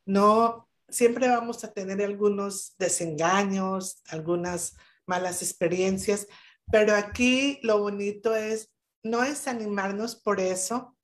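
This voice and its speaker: A woman's voice: mid-range voice, slow. A woman speaking as a guest on a podcast panel over a video call, in an earnest, emphatic way with many hesitations. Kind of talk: motivational talk on a podcast panel.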